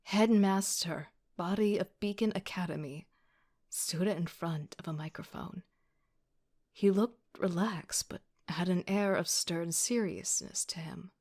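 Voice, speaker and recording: A woman's voice: fairly low pitch, unhurried, a little mellow. A woman playing an agitated young student who narrates her own story in an audio drama, sardonic and self-deprecating. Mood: wry, self-conscious. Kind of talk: first-person audio drama narration with outbursts.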